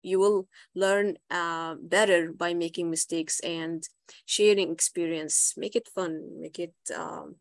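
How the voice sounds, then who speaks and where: A woman's voice: lowish voice, steady tone, slow, creaky, no room sound. Young Egyptian woman, dry close sound. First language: Arabic. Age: young adult. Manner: encouraging, advice-giving. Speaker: English teacher, guest. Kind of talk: video call conversation.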